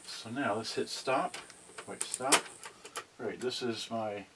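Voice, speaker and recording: A man's voice: fairly low pitch, slow. A man giving a casual unboxing demonstration, talking half to himself in a distracted way as he handles things. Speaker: product reviewer. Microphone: camera on tripod, varying distance. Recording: clearly noisy home recording with clicks.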